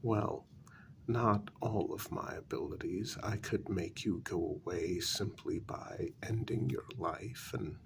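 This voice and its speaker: Weary ancient male vampire, played by a man, gravelly soft ASMR delivery, polite menace, faint rumbling noise behind. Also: full character voice. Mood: calm menace. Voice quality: breathy, half-whispered, gravelly. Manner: deliberate, halting, formally polite menace. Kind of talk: soft-spoken ASMR role-play.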